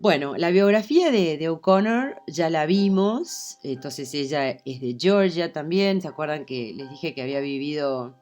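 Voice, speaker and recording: A woman's voice: low voice, expressive, measured pace, hardly any pauses, darkish tone, soft background music. A woman teaching a literature class, speaking hesitantly with many fillers and restarts. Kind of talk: online lecture.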